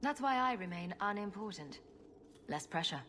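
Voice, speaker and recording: An actress playing a wry, sarcastic adventurer heroine with a dry, joking manner in a fantasy game. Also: female voice, medium pitch, lively intonation, moderate pace, a bit bright, a little hiss or hum, lightly acted. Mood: dry, amused.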